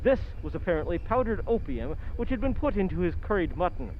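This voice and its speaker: A man playing an eager, genteel detective's companion, narrating an old-time radio drama in a formal, expressive storytelling manner. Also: high-pitched, fairly quick. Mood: intrigued. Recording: dull lo-fi sound, faint noise.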